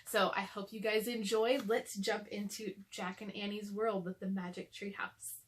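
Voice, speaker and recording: A woman's voice: medium pitch, flat delivery, moderate pace. A young adult woman chatting casually to children and reading a storybook aloud to them.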